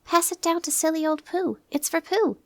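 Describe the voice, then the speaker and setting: An eager young boy pipes up high and fast in a storybook reading, put on by a woman. The sound is dry and close. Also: lively intonation, vocal fry, dry recording. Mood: excited. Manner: eager and bright. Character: excited young boy, leader of friends.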